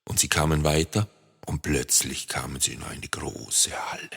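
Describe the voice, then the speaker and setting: A man's voice: rumbling low, steady tone, normal speed, vocal fry, a bit bright, slightly rough. A man narrating a children's story expressively and playfully, speaking straight to young listeners. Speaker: author and storyteller. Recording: long reverb.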